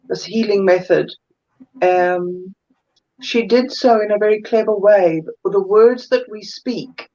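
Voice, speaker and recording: A woman with a South African accent, a guest energy healer chatting thoughtfully in an interview and often pausing to find her words. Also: mid-range voice, a little mellow, muffled recording. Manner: thoughtful, searches for words, many fillers. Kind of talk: casual interview chat.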